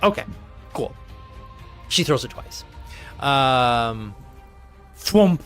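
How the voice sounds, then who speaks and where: A man's voice: medium pitch, animated, drawn-out words, fairly bright tone, soft background music. A man acting as game master in a live tabletop game, narrating turns in a chatty way and thinking rules through aloud. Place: home streaming setup.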